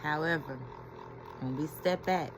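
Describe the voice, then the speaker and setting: A woman's voice: low-pitched, unhurried, background noise. A woman giving a reflective inspirational talk as a spiritual healing coach, repeating key phrases and laughing as she retells a story.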